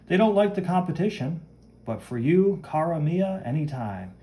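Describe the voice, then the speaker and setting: Comic-book fashion diva played by a man: flamboyant, grand, self-assured, raised pitch. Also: big pitch swings, normal speed, dark tone. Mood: grandly dramatic. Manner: theatrical, grand, peppered with foreign phrases.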